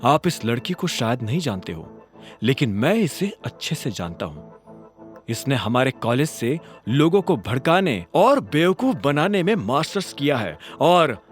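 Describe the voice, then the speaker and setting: A man's voice: mid-range voice, a little slow, soft background music. A man voicing a cocky, defiant young hero. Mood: sarcastic. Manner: cool, sarcastic, calmly defiant. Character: cocky, defiant young male office worker.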